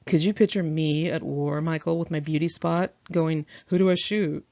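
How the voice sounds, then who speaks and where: A woman's voice: low voice, steady tone, moderate pace, mellow, dry recording, dull audio. A woman voicing a vain, nonchalant, effeminate glam rocker man in a memoir reading, with a prissy manner.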